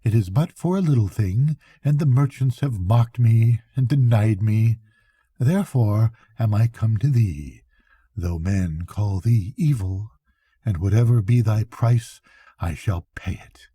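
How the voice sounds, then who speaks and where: A man's voice: fairly low pitch, animated, a little slow, dark tone, husky, no room sound, clean studio sound. A grandfather playing an earnest, determined young fisherman in a bedtime story, in a declaiming manner. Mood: earnest, resolute. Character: young fisherman, eager, earnest, determined to lose his soul.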